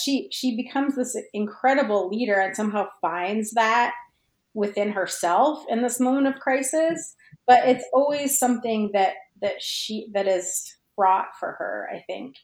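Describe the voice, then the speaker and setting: A woman speaking as a guest writer in a casual podcast discussion, thoughtful and hesitant as she circles toward the right phrasing. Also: fairly high pitch. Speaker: guest fan fiction writer. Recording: roomy, echoey sound.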